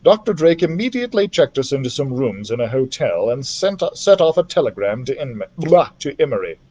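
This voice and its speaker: Animated man reading a story aloud. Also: mid-range voice, brisk. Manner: animated, theatrical delivery. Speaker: library story-time reader and host. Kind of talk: expressive read-aloud narration of a children's adventure novel.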